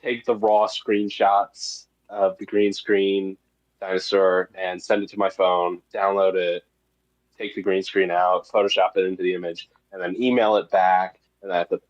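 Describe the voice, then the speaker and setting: A man's voice: low-pitched, flat delivery, normal speed. A man being interviewed as the creator of a web series, chatting casually and enthusiastically with some self-deprecation over a muffled connection. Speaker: guest, creator of an analog horror web series.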